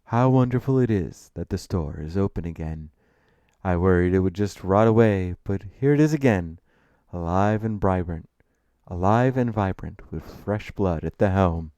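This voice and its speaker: Guy doing a tall refined older man, deep voice, formal n sly, kinda mysterious storybook type. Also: lively intonation, warm tone, no echo. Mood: effusive. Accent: refined New England. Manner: formal, deliberate, insinuating.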